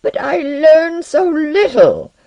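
A narrator acting out a medieval alchemist in a storybook, intense and courteous. He draws his words out slowly and swings widely in pitch, with grave, impassioned feeling. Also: very animated, mellow, breathy edge, dry recording, lo-fi sound, full character voice. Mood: sorrowful.

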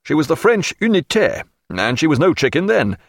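A man's voice: fairly high pitch, very animated, moderate pace, no echo, studio quality, voice pushed up. A man voicing a genial, hearty naval captain with a bluff, courteous, old-fashioned way of talking, in an audiobook. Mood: genial, reminiscing.

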